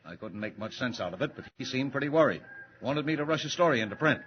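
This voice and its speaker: An actor playing a brusque, worried middle-aged newspaper editor in a 1940s radio serial, barking orders. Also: male voice, medium pitch, animated, fast talker, a little hiss or hum, lightly acted. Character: gruff harried male newspaper editor. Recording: dull lo-fi old radio broadcast.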